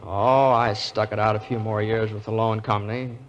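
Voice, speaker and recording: An actor playing an earnest, frustrated, quick-tempered small-town American man in a 1940s radio play, hesitant and excitable. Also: fairly low pitch, dull audio, a little hiss or hum, lightly acted. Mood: weary, matter-of-fact. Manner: hesitant, repeating words, excitable. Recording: old radio play recording.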